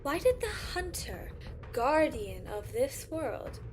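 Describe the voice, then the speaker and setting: Woman as a slow, haughty, disdainful councillor. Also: high voice, very animated, over music, heavily acted. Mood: irritated. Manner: measured, contemptuous. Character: disdainful haughty female council member, coolly amused. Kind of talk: fantasy audio drama acting.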